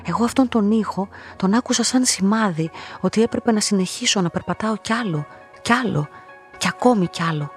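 A woman plays a lonely young woman narrating a literary radio drama over music. Her voice sits at a middle pitch, measured and introspective. She sounds submissive and quietly observant as she tells her own story. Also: close to an everyday voice. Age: young adult.

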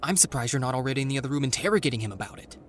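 A woman's voice: extremely low pitch, animated, rapid-fire, a little hiss or hum. A woman playing an anxious, wry, sarcastic teenager in a naturalistic audio drama.

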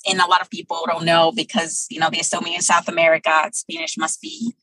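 Woman guest in her thirties, bright husky tone, dry close sound, measured answers with fillers. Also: fairly low pitch, no echo, low-quality recording. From Brazil. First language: Portuguese. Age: 30s. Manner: thoughtful, many um pauses. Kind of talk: interview answers.

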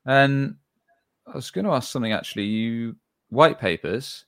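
A man hosting an interview, giving short affirmations and asking quick follow-up questions. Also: medium pitch, lively intonation, fairly bright tone. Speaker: podcast host.

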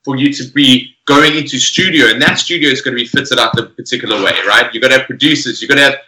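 A man with a strikingly bright, forceful voice, chatting energetically about music. He keeps checking in with his listener as he talks. The sound comes from an echoey room. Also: mid-range voice, fast. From South Africa. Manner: energetic, often tags "right?". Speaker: podcast guest musician. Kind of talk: casual chat.

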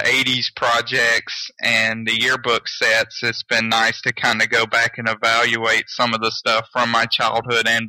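A man's voice: mid-range voice, pushed and bright, dry recording, poor audio. A man in his mid twenties from Georgia in the US, co-hosting a casual podcast in a matter-of-fact way. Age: 20s. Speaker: podcast co-host.

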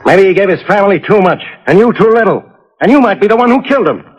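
An actor playing a pushy, sarcastic police inspector in a 1940s radio detective drama, brusque and wisecracking. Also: male voice, high-pitched, lively intonation, fast, husky, muffled recording. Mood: pressing suspicion. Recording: old 1940s radio recording.

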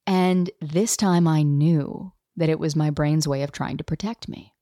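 A woman in her thirties hosts her own podcast, telling a personal story straight to the listener. Her voice sits a little low, and she slips into the voices of the people she quotes. The sound is dry and close, with no room around it. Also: a little slow, no room sound. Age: 30s. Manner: animated, acts out quoted dialogue. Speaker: podcast host.

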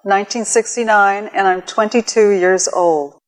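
A woman's voice: medium pitch, steady tone, normal speed, poor audio. A woman performing a written first-person account as a storyteller on stage.

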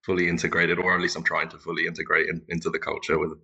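A man who grew up speaking Turkish chats as an interview guest, his voice deep and husky. He talks haltingly, often circling back over the same word before going on. His tone is forceful and bright. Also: completely flat delivery, muffled recording. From England. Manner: halting, often repeats words while thinking. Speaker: podcast guest. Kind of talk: casual interview conversation.

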